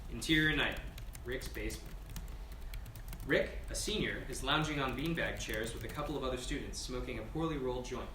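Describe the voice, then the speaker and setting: A man's voice: medium pitch, sharp tone. A man reading scene directions as scripted narration at a screenplay table read. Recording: echoey room, distant mic, noisy.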